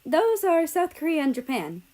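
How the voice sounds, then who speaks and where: A woman's voice: high voice, very animated, normal speed, mellow, voice pushed up. A woman voicing a cheerful, eager young male character in a storybook reading. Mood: bright, friendly.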